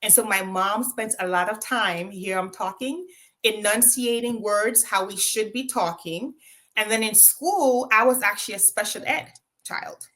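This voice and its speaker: A woman's voice: mid-range voice, unhurried, pushed and bright. A woman from Jamaica with a Jamaican accent, telling her own story as an interview guest, reflective, with frequent ums and tag questions.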